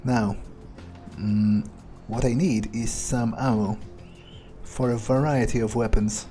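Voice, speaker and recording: Guy roleplaying through a game, real slow n dry, mellow voice, faint music behind. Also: medium pitch, steady tone. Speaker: let's player role-playing a vampire character. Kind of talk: in-character gameplay commentary, thinking aloud.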